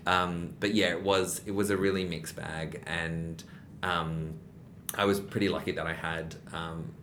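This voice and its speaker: A man's voice: steady tone, a little slow, fairly bright tone. A young adult man, a guest in a casual interview, talking in a rambling way with many restarts and fillers. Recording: rumbling background noise.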